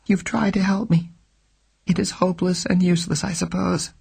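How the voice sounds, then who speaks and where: A man reading an audiobook, voicing a frightened, secretive young woman who pleads in a breathy, trembling way. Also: a little high, steady tone, fairly quick, darkish tone, voice pushed up. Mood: resigned.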